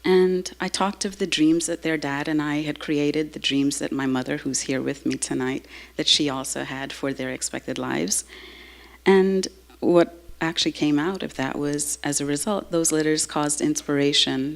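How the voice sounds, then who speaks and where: Creaky-voiced woman telling her story. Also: sits low, fairly even intonation. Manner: slow, halting, heartfelt. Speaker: speaker sharing a personal story. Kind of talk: personal talk to a live audience.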